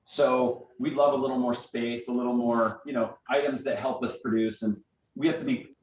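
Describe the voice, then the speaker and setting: A man's voice: fairly even intonation, normal speed, darkish tone. An American man giving informal, conversational answers as a guest speaker at a small meeting. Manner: conversational, explanatory, uses everyday analogies. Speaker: guest speaker, theatre director. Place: indoor meeting room. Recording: echoey room, distant mic, faint rumbling noise.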